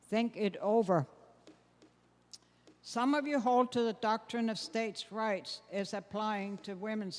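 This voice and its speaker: An impassioned suffragist leader played by a woman in readers theater delivers formal oratory in a big, echoing hall. She is a deliberate female speaker who takes her time, stressing her words in a high register. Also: darkish tone. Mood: resolute, warning, then firm, petitioning. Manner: deliberate, emphatic, declamatory, stumbling slightly over words. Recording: echoey hall with long reverb.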